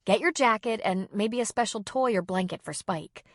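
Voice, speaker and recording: A woman's voice: medium pitch, moderate pace, no room sound, studio quality, close to an everyday voice. A woman voicing a practical adult woman who gives firm, urgent instructions.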